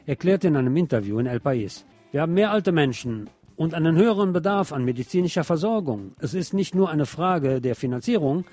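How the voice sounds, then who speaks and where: A man's voice: a little high, animated, a little fast, few pauses, a little mellow, soft background music. A man presenting scripted local news in German, with a wry, opinionated streak. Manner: sardonic, opinionated asides.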